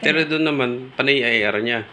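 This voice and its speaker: A man's voice: medium pitch, fairly quick, pushed and bright. A Filipino man chatting casually with a coworker as he troubleshoots equipment, in a noisy on-site recording. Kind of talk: casual work chat.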